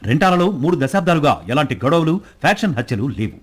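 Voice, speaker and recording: A man's voice: on the high side, lively intonation, fast. A man reading scripted news narration in the manner of a bulletin reporter.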